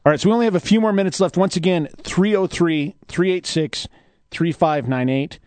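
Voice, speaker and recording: A man with a fairly high voice co-hosts a radio show. His banter is casual, playful and self-mocking, recorded in a dry studio. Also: fairly quick, a little mellow, no echo. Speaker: co-host of a poetry radio show.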